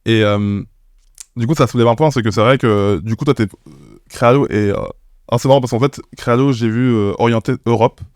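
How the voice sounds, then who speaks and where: A man's voice: medium pitch, brisk, a little mellow, dry recording, clean studio sound. A man hosting a casual interview, chatty and teasing as he asks questions. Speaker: podcast host.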